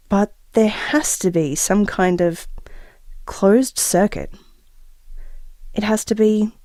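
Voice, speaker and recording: A gentle expectant mother with quiet confidence, played by a woman in an audio drama. Her voice is soft-edged and mellow, with lively melodic movement. She confides in a measured, reflective way, recorded very dry. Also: medium pitch, dry recording. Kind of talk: intimate audio drama monologue.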